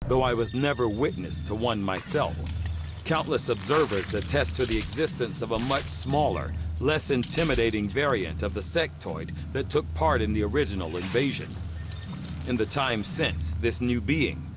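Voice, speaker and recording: Game scientist, male actor: formal, measured, erudite and clinical, fascinated by specimens, loud score underneath. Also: mid-range voice, expressive, lightly acted. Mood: clinical, detached. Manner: formal, precise, measured delivery. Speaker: alien researcher scientist. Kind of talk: scripted video game cutscene acting. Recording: loud music behind. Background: music.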